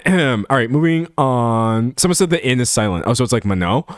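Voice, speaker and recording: A man's voice: medium pitch, animated, moderate pace, no echo, clean studio sound. A young man from San Antonio with a Texan American accent, chatting casually on a livestream, reading chat comments and laughing at himself. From San Antonio, Texas. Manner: rambling, self-deprecating, laughs often. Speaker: streamer.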